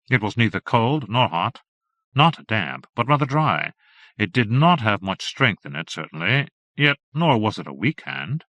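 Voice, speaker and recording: A man narrating a period horror story in a measured, formal manner. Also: on the low side, bright, forceful tone, no room sound. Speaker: audiobook narrator.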